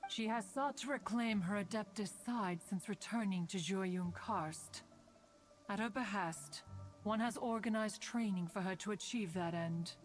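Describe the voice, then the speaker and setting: A woman's voice: mid-range voice, unhurried, natural voice. Ancient female immortal, game role for an actress. Dignified, motherly, grandly formal, mid-range voice, faint music underneath. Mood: composed. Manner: stately, archaic formal speech, calls herself "one". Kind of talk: video game voice acting. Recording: soft game music behind the voice.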